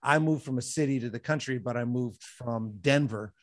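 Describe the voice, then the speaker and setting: A man's voice: medium pitch, moderate pace, dry recording. A man from Colorado, a guest on a podcast, telling his story in a casual, easygoing way. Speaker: podcast guest, music producer. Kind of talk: casual interview chat.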